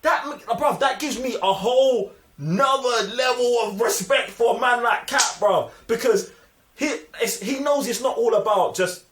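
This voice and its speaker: A man's voice: very high-pitched, normal speed, fairly bright tone, slight background noise. A man with a London accent co-hosting a film review in slangy, emphatic banter, often repeating himself to push his point. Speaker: film review podcast co-host. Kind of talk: animated casual banter, slangy. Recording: roomy, echoing sound.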